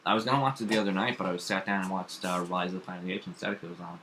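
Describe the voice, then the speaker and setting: A man's voice: low-pitched, rapid-fire, pushed and bright, slight background noise. A man talking as a podcast co-host in casual, opinionated pop culture chat, informal, rambling and emphatic.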